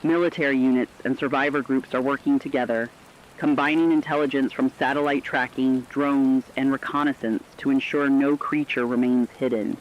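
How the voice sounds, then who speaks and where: A man's voice: mid-range voice, steady tone, close to an everyday voice. Earnest male radio co-anchor, muffled sound, acted. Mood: grave. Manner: earnest, measured, grave. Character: earnest radio news co-anchor reporting after apocalypse. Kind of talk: scripted live radio news narration. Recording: dull lo-fi sound with faint noise.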